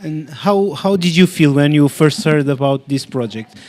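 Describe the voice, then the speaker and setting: A man's voice: fairly high pitch, lively intonation, moderate pace, darkish tone. A young man hosting a podcast discussion and asking short, friendly questions. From Portugal. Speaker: podcast host.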